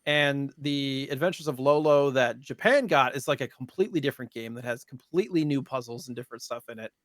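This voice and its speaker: A man's voice: medium pitch, brisk, no room sound, studio quality. A man co-hosting a gaming podcast, chatting casually and explaining things in an informal way. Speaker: podcast co-host.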